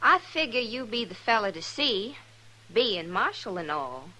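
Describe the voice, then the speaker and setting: A woman's voice: mid-range voice, very animated, a little slow, pushed and bright, exaggerated voice, low-quality recording. A woman playing an earnest, naive young ranch girl with a rural American Western accent in a 1950s radio western. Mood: earnest, worried. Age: young adult. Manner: plain-spoken, artless, literal.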